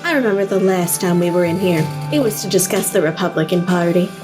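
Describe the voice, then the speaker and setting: A woman's voice: fairly low pitch, a little fast, over music, natural voice. A woman playing a calculating government official in an audio drama, composed and knowing. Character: Missus Red, coolly calculating female government official.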